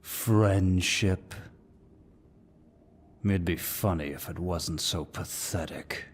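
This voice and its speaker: A man playing a suave, dominant demon lord who speaks in a deep, husky voice with mocking courtesy, as in an ASMR villain roleplay. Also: a little hiss or hum. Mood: mocking, bored. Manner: slow, mocking, mock-courteous, theatrical.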